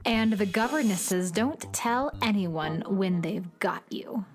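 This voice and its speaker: A woman's voice: mid-range voice, expressive, takes it slowly. A woman playing a petulant, bratty girl troublemaker in a children's radio comedy, sneering and taunting with sarcasm. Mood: smug, resigned. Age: child figure.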